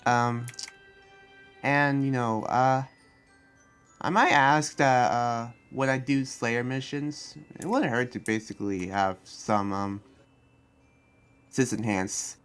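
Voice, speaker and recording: Music plays behind a man acting as an earnest demon slayer who guards his town. He explains himself in a halting, rambling way, with an average-pitched voice, as in an improvised video game roleplay. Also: steady tone, a bit bright, smooth. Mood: earnest, friendly. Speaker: roleplay player. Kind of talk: improvised Minecraft anime roleplay dialogue. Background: background music.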